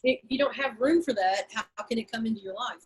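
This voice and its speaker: A woman's voice: fairly high pitch, fast, husky. A woman interviewing someone in a casual, informal and encouraging way over a video call. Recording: noisy video call with background ambience.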